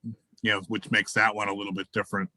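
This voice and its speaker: A man's voice: on the low side, monotone, quick pace, pushed and bright, slight background noise. An easygoing man moderating a virtual tasting, putting questions to the group on a video call.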